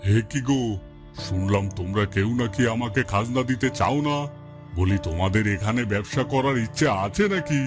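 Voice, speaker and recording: A man's voice: lowish voice, moderate pace, close to an everyday voice. A man playing a bossy, bullying village headman in a cartoon, demanding and indignant in manner. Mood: indignant, threatening. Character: bossy, bullying village headman who collects market rent. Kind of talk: Bengali moral-story cartoon dubbing. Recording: loud music bed behind the voice.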